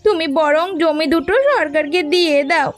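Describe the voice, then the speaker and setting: A village wife in a cartoon, acted by a woman, with an extremely high voice. She complains dramatically and has a sharp tongue, with music underneath. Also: moderate pace. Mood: resigned, plaintive. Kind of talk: Bengali cartoon voice acting.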